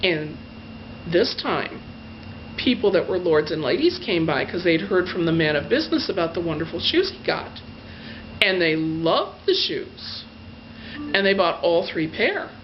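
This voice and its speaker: A woman's voice: lowish voice, lively intonation. Woman telling a fairy tale, lo-fi recording. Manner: gushing emphasis, drawn-out adjectives. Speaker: storyteller. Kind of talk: animated bedtime fairy-tale storytelling, told in own words. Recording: dull lo-fi sound with faint noise.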